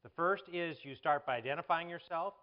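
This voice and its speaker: A man's voice: on the high side, brisk, dull audio. A man moderating a forum, giving an audience orderly spoken instructions. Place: indoor forum stage before an audience.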